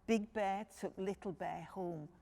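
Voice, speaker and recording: A woman's voice: medium pitch, measured pace, warm tone. A woman reading a picture book to young children, phrasing things simply and speaking to her listeners directly. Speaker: children's storyteller.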